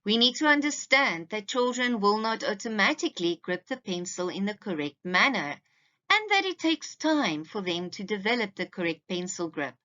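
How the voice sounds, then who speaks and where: A woman's voice: mid-range voice, very animated, normal speed, bright, forceful tone, dull audio. A woman presenting an instructional slide lecture to parents like a teacher, speaking emphatically and directly to her listeners.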